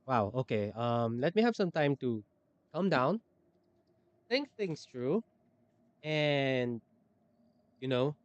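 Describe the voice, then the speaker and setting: A man's voice: mid-range voice, very animated, slow pace, pauses often, no room sound, dull audio. A man livestreaming a game, reacting casually and hesitantly, repeating phrases and breaking into exclamations. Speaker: streamer.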